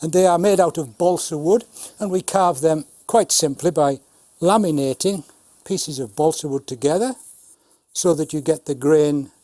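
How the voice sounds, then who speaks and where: A man in his seventies, a puppeteer, explaining and showing his craft in a measured way with dry humour. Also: fairly high pitch, dark tone, no echo. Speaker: puppeteer, Punch and Judy showman. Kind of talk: informal talk demonstrating puppets.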